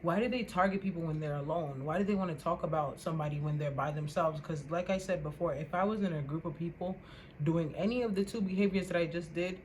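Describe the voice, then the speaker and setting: A young woman vlogger with a loud voice, ranting casually to the camera in an animated, indignant way and repeating words for emphasis. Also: fairly low pitch, fast talker, slight background noise, low-quality recording.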